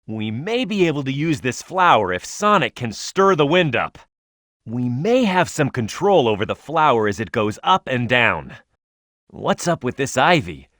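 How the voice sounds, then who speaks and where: A tough young male echidna hero from a video game, cocky and quick to flare up. The pitch swings very widely in an emphatic delivery. The recording is close and dry, with no room sound. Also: big pitch swings, fairly bright tone, no echo. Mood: curious, alert. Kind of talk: video game character voice lines, tutorial hints and battle shouts.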